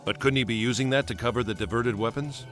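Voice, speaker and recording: A man's voice: medium pitch, fast talker, fairly bright tone, faint music behind, lightly acted. A man playing a blunt special-ops agent in a video game, challenging and questioning what he is told. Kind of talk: English dub acting in a video game cutscene.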